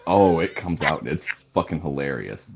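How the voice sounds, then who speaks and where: A man's voice: very deep voice, lively intonation, normal speed, gravelly, crowd noise. A man joining a podcast as a guest, blunt and profane with a dry sense of humor. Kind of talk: casual banter among reptile keepers.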